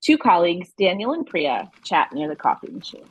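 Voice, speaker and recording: A woman's voice: fairly low pitch, big pitch swings, fairly quick, breathy edge, low-quality recording. A woman reading scripted narration and stage directions as the narrator of an audio play.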